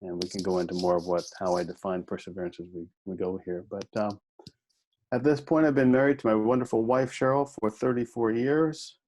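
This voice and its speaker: Podcast guest, man, 50s, low voice, folksy and good-humored storytelling. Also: fairly even intonation, fairly quick, a little mellow, rough recording. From United States. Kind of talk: conversational interview.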